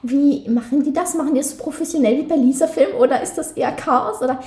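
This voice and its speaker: High-voiced young Austrian woman, roomy sound. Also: quick pace, mellow. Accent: Austrian German, light Carinthian. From Carinthia, Austria. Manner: chatty, laughing, many restarts. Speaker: interview guest. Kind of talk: casual interview chat.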